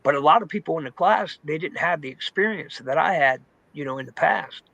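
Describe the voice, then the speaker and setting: A man's voice: a little high, animated, fairly quick, creaky, bright, forceful tone, no echo, muffled recording. A man giving a personal testimony in an informal, candid, conversational storytelling way, saying "man", "dude" and "you know" often. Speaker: testimony guest in recovery.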